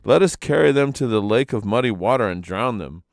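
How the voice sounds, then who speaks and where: A man's voice: medium pitch, expressive, fairly quick, vocal fry, no room sound, studio quality. A man voicing clamoring, exclamatory village folk in a storybook legend. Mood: alarmed.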